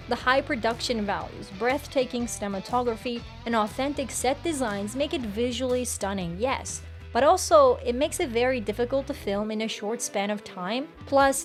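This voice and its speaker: Higher-pitched woman narrating with background music. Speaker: entertainment news video presenter. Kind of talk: scripted video narration.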